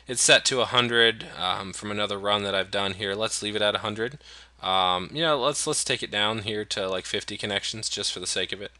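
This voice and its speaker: A man from the United States walking through software settings in a relaxed, explanatory way, with frequent fillers and restarts. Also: on the low side, dry recording. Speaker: software tutorial presenter. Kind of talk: screen-recorded software walkthrough.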